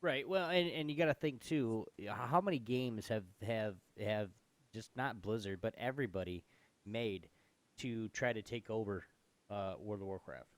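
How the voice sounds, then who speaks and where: A man's voice: on the low side, normal speed, dry recording. A man co-hosting a gaming show in a casual, informal, slangy way.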